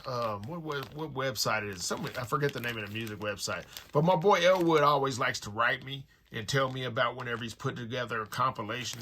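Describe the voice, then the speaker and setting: An American man with a bright, punchy voice hosts a casual unboxing. He rambles conversationally in relaxed street slang, often checking in with his viewers. His pitch sits in the middle range, and there is noticeable noise behind him. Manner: rambling, slangy, conversational with repeated tag phrases. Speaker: music collector and online store owner hosting an unboxing. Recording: noticeable background noise with clicks.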